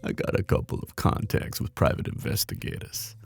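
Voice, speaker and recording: A man playing a flirty, menacing mob boss in an intimate ASMR roleplay, with a teasing, cocky drawl and a deep, gravelly voice. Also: brisk, faint music behind, close to an everyday voice. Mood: smug, businesslike.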